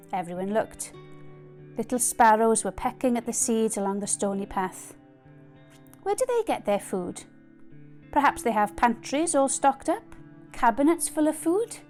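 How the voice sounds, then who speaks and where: A woman's voice: medium pitch, a little mellow. A woman reading aloud from a children's Bible story. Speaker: story reader.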